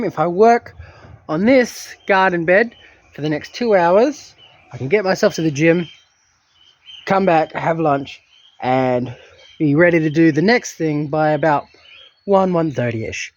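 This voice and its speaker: A man's voice: on the high side, lively intonation, a little slow, dull audio. A man vlogging his day with chatty, self-mocking narration into a handheld phone camera. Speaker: homesteading vlogger. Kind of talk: casual self-filmed vlog narration.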